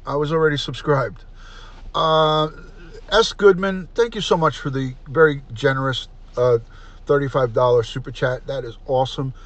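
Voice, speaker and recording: A middle-aged man hosting a casual livestream, chatty and repetitive, often clearing his throat. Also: medium pitch, normal speed. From New York. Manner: chatty, repeats himself, frequent throat clearing. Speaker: livestream host, retired detective. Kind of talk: casual livestream chat, reading comments aloud.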